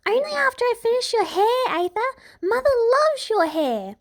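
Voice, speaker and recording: Squealing, bossy little princess. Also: female voice, very high voice, moderate pace, pitched above the performer's own voice, full character voice. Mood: bossy, insistent. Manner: whiny, demanding, excitable.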